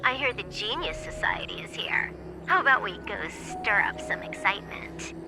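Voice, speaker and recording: A woman's voice: fairly high pitch, lively intonation, moderate pace, lightly acted, rough recording. An actress playing a young, nonchalant female hacker who teases wryly in a video game, with music behind her. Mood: teasing. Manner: teasing, nonchalant. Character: playful teasing young female hacker, casual and wry. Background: background music.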